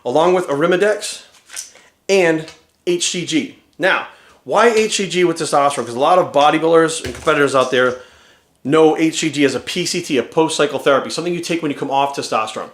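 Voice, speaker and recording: A man in his forties giving an energetic, rambling testimonial to camera. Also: a little high, brisk, reverberant. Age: 40s.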